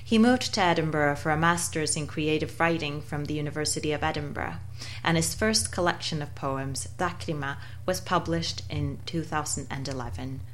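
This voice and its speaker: A woman's voice: deep voice, normal speed, a little hiss or hum. A woman hosting a poetry podcast, reading an introduction to her guests and then asking them questions. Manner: warm, enthusiastic introductions. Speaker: podcast host. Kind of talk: scripted intro and interview questions.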